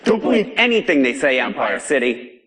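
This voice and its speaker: A man's voice: medium pitch, very animated, fairly quick, a bit bright. A man with an American accent voicing a fiery, angry and defiant underground broadcaster in a video game, speaking in an emphatic, streetwise, preachy way. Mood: suspicious, indignant. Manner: emphatic, streetwise, preachy, swings between outrage and gravity. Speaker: pirate broadcaster. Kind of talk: video game voice acting, pirate broadcast monologue.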